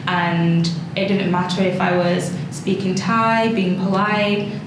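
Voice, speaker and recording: A woman, talking casually and thoughtfully about her own experience in a group discussion, pausing often. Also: on the low side, little pitch movement. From England. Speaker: discussion participant. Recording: very echoey, noisy room.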